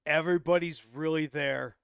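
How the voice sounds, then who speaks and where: A man hosting a casual interview, chatting quickly, often restarting words and answering with "right" and "yeah". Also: fairly high pitch, fairly even intonation, vocal fry, a bit bright, smooth, no echo, studio quality. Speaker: interview host.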